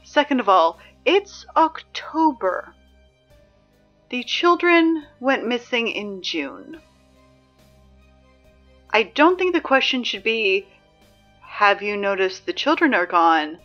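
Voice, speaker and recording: A woman playing a sarcastic, exasperated high school announcer in a scripted audio drama, dry and emphatic in delivery. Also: dull audio. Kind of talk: scripted fiction podcast, PA announcements.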